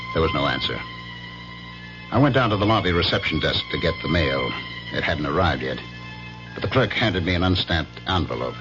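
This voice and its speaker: A brooding, jealous husband in an old radio drama. His voice is low and swings expressively as he tells his story with dramatic pauses. The broadcast is muffled, with music. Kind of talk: 1970s radio drama acting, first-person narration.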